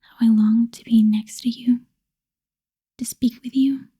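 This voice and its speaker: A woman playing an obsessive, lovestruck female vampire in a whispered ASMR roleplay, breathy, coaxing and possessive, seductive with quiet menace. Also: a little high, fairly even intonation, a little slow. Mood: lovestruck, tender.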